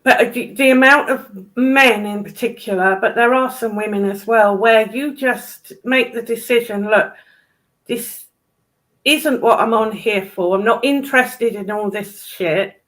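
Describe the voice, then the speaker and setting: A British woman with a slightly high voice, talking casually. She is blunt and emphatic, and swears freely as she makes her point. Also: a little slow, fairly bright tone, low-quality recording. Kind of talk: casual chat.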